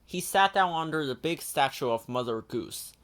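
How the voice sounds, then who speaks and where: A man's voice: a little high, expressive, moderate pace, a bit bright. Man reading a children's storybook aloud. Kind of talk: expressive scripted storybook narration.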